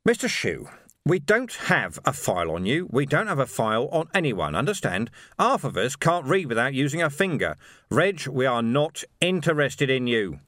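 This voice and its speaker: A man acts a calm police officer in an audiobook, courteous and wry. His delivery is deadpan but varied in pitch, closely and dryly recorded. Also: normal speed, no echo, studio quality. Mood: patient firmness. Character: unflappable, wryly polite male police officer. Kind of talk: audiobook character voice.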